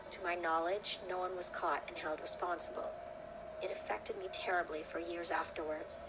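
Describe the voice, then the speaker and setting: A woman's voice: fairly low pitch, fairly even intonation, normal speed, heavy background noise. A woman reading a scripted first-person horror story as narrator. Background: loud music.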